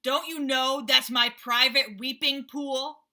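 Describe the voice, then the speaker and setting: A self-pitying old male rhinoceros, read aloud by a woman, complains loudly and mournfully in a storybook. The voice sits a little high and sounds bright and clear. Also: animated, moderate pace, exaggerated voice. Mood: angry. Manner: loud, weepy and complaining.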